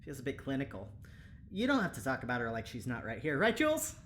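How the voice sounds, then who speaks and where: A woman's voice: very deep voice, wildly swooping pitch, fast, a little hiss or hum, exaggerated voice. A woman acting as a cheerful, chatty, over-familiar spaceship engineer in an audio drama, with a breezy, rambling, teasing manner. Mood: friendly, apologetic.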